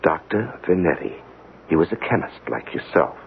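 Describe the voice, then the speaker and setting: Deep male voice, anxious dogged investigator, halting, lively pitch swings, vintage radio drama. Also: creaky, heavily acted. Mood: gentle, urgent. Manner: hesitant, probing, halting delivery. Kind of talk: 1970s radio drama acting. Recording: tinny, faintly hissy 1970s radio broadcast.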